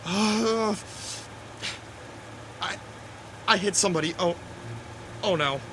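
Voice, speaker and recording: A man's voice: high voice, noisy background. An actor playing a nervous, tipsy male driver who stammers and halts as he talks. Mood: panicked. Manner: halting, stammering. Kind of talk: acted audio drama dialogue.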